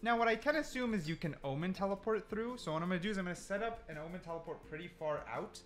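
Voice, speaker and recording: A man gives excited gameplay commentary with a very lively, swinging pitch. He thinks out loud in exclamations and repeats himself as he goes, with faint music behind him. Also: quick pace. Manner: repetitive, exclamatory, thinking aloud. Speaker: gaming content creator testing game mechanics.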